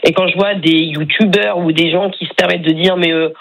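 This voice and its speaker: A woman's voice: fairly low pitch, fairly even intonation, brisk, gravelly, poor audio. A woman calling in to a radio show, chatting casually and sincerely in familiar, hesitant bursts. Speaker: radio phone-in caller.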